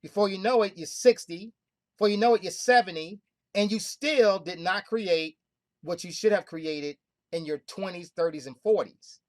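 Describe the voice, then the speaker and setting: A woman hosting a group call, pitching hard with emphatic, repetitive, preaching talk. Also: normal speed, darkish tone, no echo. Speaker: host of a money club call.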